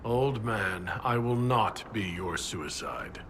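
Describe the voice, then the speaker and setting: A man playing a steady, composed hero in a fantasy video game, level and direct in manner. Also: fairly low pitch, slow, a little hiss or hum. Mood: calm, resolute.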